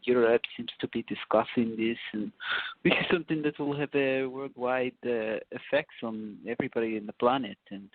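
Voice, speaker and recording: A man calling in to a talk show, speaking in a halting, hesitant way. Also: mid-range voice, a little hiss or hum. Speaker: caller. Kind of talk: phone-in remarks. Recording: dry tinny phone line.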